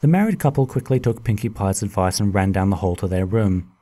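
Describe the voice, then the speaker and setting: Animated low-pitched male storyteller. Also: fairly quick, a little hiss or hum. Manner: expressive, dramatic. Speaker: narrator. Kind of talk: scripted storybook narration.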